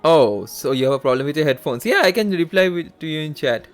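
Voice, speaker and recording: A man livestreams a game in a casual, halting way. His pitch moves around a lot as he reacts with amazement, and game music plays behind him. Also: expressive. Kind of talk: casual live gameplay commentary.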